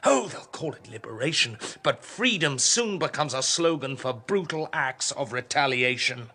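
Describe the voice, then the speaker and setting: Husky, forceful, scornful king. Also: mid-range voice, lively intonation, moderate pace. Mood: indignant, declamatory. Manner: scornful, declamatory, emphatic. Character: contemptuous, tyrannical male king obsessed with order. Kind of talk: radio drama acting.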